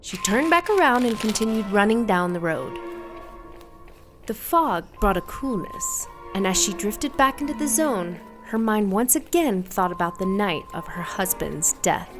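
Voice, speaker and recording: A woman's voice: mid-range voice, animated, a little slow, over music. A woman reading a scripted suspense story as its narrator.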